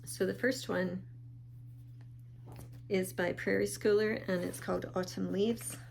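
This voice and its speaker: A woman talking casually in a show-and-tell vlog, calm and unhurried with small pauses, sounding a bit sniffly. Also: medium pitch, gravelly, a little hiss or hum. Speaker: vlogger.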